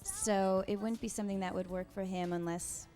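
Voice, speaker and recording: Soft-spoken woman guest, thoughtful and unhurried. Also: medium pitch, fairly even intonation, soft background music. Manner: soft-spoken, thoughtful, with frequent pauses and ums. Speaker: interview guest. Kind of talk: casual interview answers.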